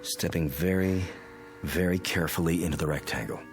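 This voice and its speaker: An actor playing a calm, methodical physicist in a radio drama, measured and giving instructions. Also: male voice, low-pitched, soft background music, natural voice. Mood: focused, careful. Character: calm, methodical male physicist friend investigating a mystery.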